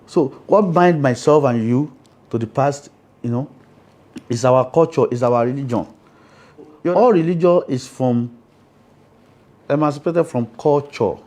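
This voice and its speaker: Mellow-voiced Nigerian man explaining earnestly. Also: medium pitch, unhurried, long pauses. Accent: Nigerian Yoruba. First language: Yoruba. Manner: emphatic, often checks listener understands. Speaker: Ifa priest, interview guest. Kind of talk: explanatory interview talk.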